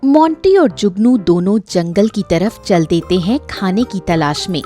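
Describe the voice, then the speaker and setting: A woman tells a scripted children's story as its narrator. Her voice is soft-toned and mid-pitched, slow but with very varied intonation. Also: animated. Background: faint music.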